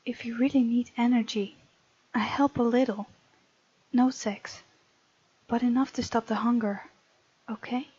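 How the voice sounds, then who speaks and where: A woman voicing a bold, tender young heroine who narrates a visual novel romance in a breathy, often whispery voice. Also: fairly high pitch, steady tone, unhurried, pauses often, warm tone. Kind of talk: hushed dramatic reading of a visual novel. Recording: muffled lo-fi mic, music underneath.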